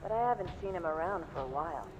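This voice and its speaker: A man's voice: a little high, animated, quick pace, noisy background, lightly acted. An actor playing a gossipy male bartender in a game, talking casually and confidingly. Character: chatty, gossipy male bartender in a rough underhive. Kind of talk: cutscene voice acting, casual barroom gossip. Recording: dull sound under clear music.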